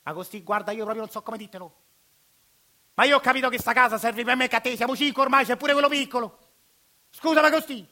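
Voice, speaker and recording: A sheepish Roman brother-in-law. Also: male voice, very high-pitched, fast, pushed and bright, pitched above the performer's own voice, exaggerated voice. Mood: apologetic. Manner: apologetic, hesitant.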